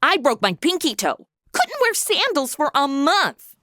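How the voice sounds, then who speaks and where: A woman's voice: sits high, wildly swooping pitch, a little slow, bright, forceful tone, no echo, clean studio sound, full character voice. A woman playing a flippant, chatty, clueless apprentice angel in an audio comedy, talking in casual slang. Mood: breezy, self-absorbed.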